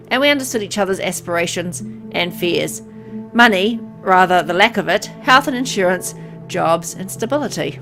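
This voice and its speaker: A bitter young man in his twenties narrates his own dying in a solemn, inward-looking way , read by a woman. He is resigned and brooding. Music plays clearly behind this visual novel monologue. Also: mid-range voice, natural voice. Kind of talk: dramatic first-person visual novel narration.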